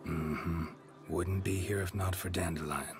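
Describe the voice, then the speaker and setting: A man acting a gruff, laconic monster hunter in a video game, terse and deadpan with dry wit. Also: deep voice, unhurried. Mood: dry, probing.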